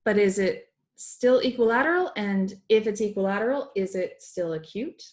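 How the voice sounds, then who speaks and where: A woman's voice: mid-range voice, lively intonation, normal speed. A young adult woman teacher reading a math lesson aloud to children, recorded at a computer indoors.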